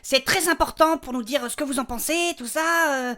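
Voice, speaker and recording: An actress playing an outspoken, easily flustered adventurer with a blunt, coarse manner in a comic fantasy audio drama. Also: female voice, high voice, moderate pace, crowd noise, lightly acted. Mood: insistent. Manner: hesitant fillers mixed with coarse bluntness.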